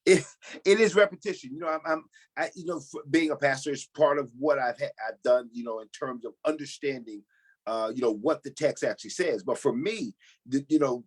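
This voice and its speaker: A man's voice: medium pitch, brisk, husky. A middle-aged man, a pastor appearing as a guest, answering reflectively and leaning on "you know" and repeated words. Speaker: pastor and novice actor, guest.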